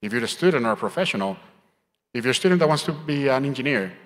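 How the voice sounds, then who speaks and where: A man's voice: medium pitch, lively intonation, quick pace, a bit bright. Emphatic Colombian man in a reverberant hall. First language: Spanish. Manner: emphatic, motivational. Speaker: panelist, game industry professional. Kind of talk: advice in a panel discussion. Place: conference stage. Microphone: handheld microphone. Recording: hall reverb from stage sound.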